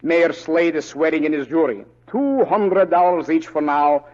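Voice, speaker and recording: An actor playing a fussy, put-upon comic sidekick in a 1940s western radio drama. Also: male voice, a little high, a little slow, a little mellow, lightly acted. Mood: dry, scornful. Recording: dull old radio recording.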